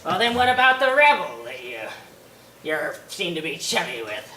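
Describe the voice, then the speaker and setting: A woman voicing a cold, gloating rich old man who mocks slowly and drags out his words. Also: lowish voice, very animated, pushed and bright, echoey room, a little hiss or hum, voice pushed down, low-quality recording. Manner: slow, mocking, drawn-out delivery.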